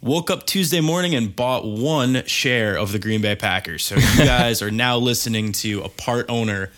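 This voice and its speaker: A man hosts a relaxed weekly podcast in an upbeat, chatty way, his voice sitting in an ordinary middle range. He sounds a little stuffed up from a cold. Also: mid-range voice, moderate pace. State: congested from a cold. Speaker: podcast host. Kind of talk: casual podcast chat.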